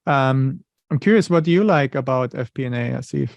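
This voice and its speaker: A man's voice: mid-range voice, expressive, fairly quick, darkish tone, no echo. A man in his thirties from Germany with a German accent, talking as an interview guest in a thoughtful, explanatory way with many "you know" fillers. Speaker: interview guest, finance leader. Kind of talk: conversational interview.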